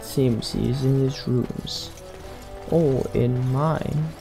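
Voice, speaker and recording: A man with a creaky voice hosting a let's play, speaking his character's lines in video game roleplay. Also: mid-range voice, steady tone, drawn-out words, music in the background.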